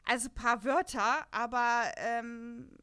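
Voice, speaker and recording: A woman from the Lower Rhine in Germany talks in a fairly high voice. She is a podcast co-host in casual conversation, chatty and rambling, with a self-deprecating way of putting things. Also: fairly even intonation, takes it slowly, bright, forceful tone, clean tone. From Lower Rhine region, Germany. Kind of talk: casual chat about an audio drama.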